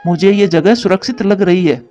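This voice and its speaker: A man's voice: high voice, normal speed, dark tone, natural voice. A man voicing a caring, responsible male pigeon in a children's moral story cartoon. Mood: reassuring. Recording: dull lo-fi track with music.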